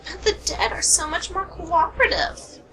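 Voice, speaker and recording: A woman's voice: extremely high pitch, moderate pace, pushed and bright, heavily acted, rough recording. A woman playing a cheerfully morbid, dry-humored medical examiner in an amateur radio play. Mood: dryly playful.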